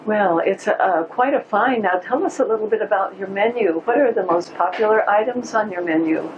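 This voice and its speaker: A woman at a mid pitch interviews a guest, encouraging him with short replies between her questions. The sound is a little dull and lo-fi, with faint noise under it. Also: fairly quick. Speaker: show reporter and interviewer. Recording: slightly dull lo-fi recording.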